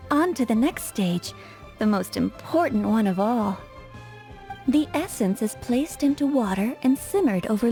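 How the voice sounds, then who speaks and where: A flirtatious perfumer, high mellow voice. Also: female voice. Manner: coquettish, instructive, poised. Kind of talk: English video game voice acting.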